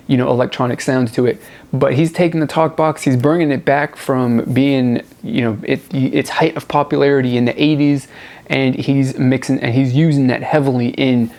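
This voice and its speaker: A man's voice: mid-range voice, darkish tone. A man hosting a music review show, talking casually and off the cuff to viewers, rambling and repeating words for emphasis.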